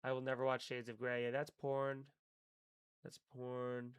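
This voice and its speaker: A man's voice: mid-range voice, completely flat delivery, measured pace, clean tone, dry recording. A young man hosting a live stream, chatting casually, rambling and swearing with wry asides. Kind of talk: casual live-stream chat reading chat comments.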